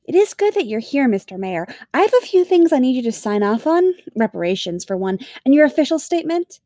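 A woman reading an audiobook-style story, voicing a cheerful, efficient female official who is gently insistent. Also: high-pitched, very animated, normal speed, no echo, clean studio sound, pitched above the performer's own voice. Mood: upbeat, reassuring. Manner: gentle, guiding, patient, teacherly.

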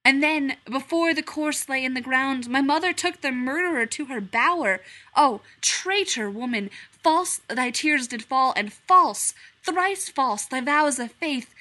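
Woman reader as anguished male prince; theatrical, loud verse. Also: high voice, lively intonation, unhurried, pitched above the performer's own voice, heavily acted. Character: melodramatic young male tragic prince.

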